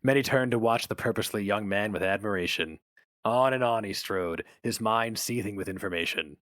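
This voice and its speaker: A man's voice: on the low side, a little fast, fairly bright tone, no echo. Man narrating, close and dry. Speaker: story narrator. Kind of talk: dramatic scripted narration.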